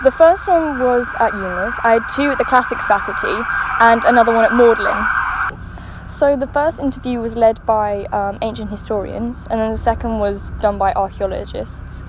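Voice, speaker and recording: A young woman of about twenty, an archaeology student, recounting her interviews briskly and upbeat. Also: on the high side, background noise. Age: around 20. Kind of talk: casual interview answers.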